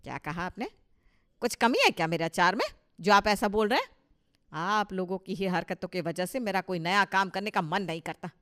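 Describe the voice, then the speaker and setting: A touchy, bossy older mother-in-law in a family cartoon, acted by a woman. Her pitch sits in the middle of the female range. She speaks with proud emphasis and a boastful, scolding manner. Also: lively intonation, moderate pace, fairly bright tone, lightly acted. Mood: offended. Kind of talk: Hindi cartoon story dialogue.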